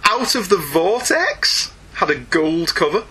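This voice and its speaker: A man's voice: sits high, very animated, slow pace, over a noisy crowd. A middle-aged British man chatting casually as a podcast host, with a wry, jokey, mock-exasperated way of talking. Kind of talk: casual comic book podcast chat.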